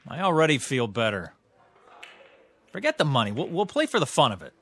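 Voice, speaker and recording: An actor with an American accent playing a proud, prickly, obsessive young pool shark in a radio drama. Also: male voice, medium pitch, huge pitch swings, a little fast, fairly bright tone, husky, exaggerated voice. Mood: confident, defensive. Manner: boastful, intense, casual American slang.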